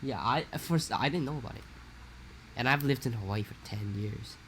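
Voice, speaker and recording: A man's voice: mid-range voice, normal speed, fairly bright tone, slight background noise. A young man co-hosting a casual podcast, talking in a rambling, profane, slangy way while losing his voice. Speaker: podcast co-host. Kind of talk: casual chat.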